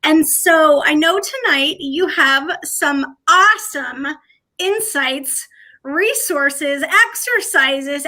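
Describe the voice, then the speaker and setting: A woman's voice: high-pitched, sharp tone. A woman hosting a livestream on a video call, bubbly and effusive. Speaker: host.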